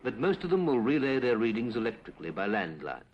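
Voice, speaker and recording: A British man reads a scripted 1950s public information film narration in a formal, measured, clipped manner. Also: medium pitch, big pitch swings, lo-fi sound, slight background noise. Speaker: documentary narrator. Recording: 1950s film soundtrack with music.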